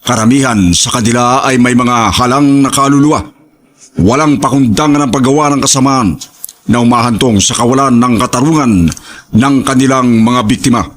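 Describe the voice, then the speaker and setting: A man giving scripted narration for a story programme in a measured, formal manner. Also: mid-range voice. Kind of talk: scripted narration with moral lesson and credits.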